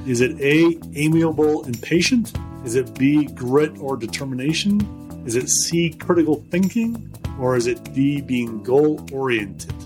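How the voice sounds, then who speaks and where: A man's voice: mid-range voice, expressive, unhurried, music in the background. A man from Scotland, hosting a casual interview in a friendly, chatty way. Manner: friendly, conversational, reads poll options aloud. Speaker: podcast host.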